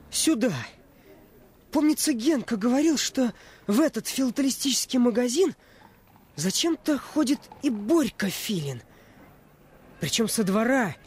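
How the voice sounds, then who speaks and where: Husky-voiced, spirited teenage boy. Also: medium pitch, darkish tone. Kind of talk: radio play acting.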